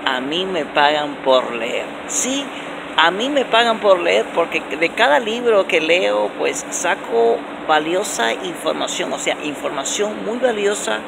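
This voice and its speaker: A man in his forties giving motivational self-help advice in a casual vlog, talking with animated emphasis and lots of repetition, filmed on a phone held at arm's length in an underground station. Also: unhurried, creaky. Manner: animated and repetitive, many restarts, stressing words with rising pitch. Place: indoor underground transit station, concrete walls. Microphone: phone camera held close at arm's length. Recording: noisy phone recording in a station.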